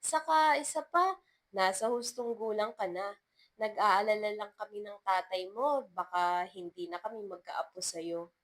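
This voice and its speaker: A woman's voice: mid-range voice, measured pace, natural voice. A woman storyteller voicing a teasing, supportive older mother with a playful, exclaiming manner.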